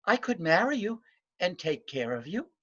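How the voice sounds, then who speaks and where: A man voicing a kind, practical young village woman in a folktale, soft-spoken and patient. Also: sits high, sing-song, normal speed, highs cut off, heavily acted.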